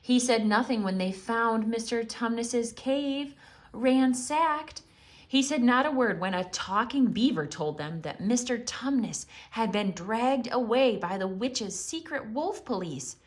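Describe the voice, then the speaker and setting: Female children's narrator: dramatic, expressive read-aloud, unhurried pace, wide melodic range. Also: fairly high pitch. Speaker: storyteller. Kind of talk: theatrical scripted children's story narration.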